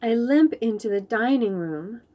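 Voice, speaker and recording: A woman reading a children's picture book aloud in an expressive, animated storytelling manner. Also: fairly high pitch, a little slow, highs cut off.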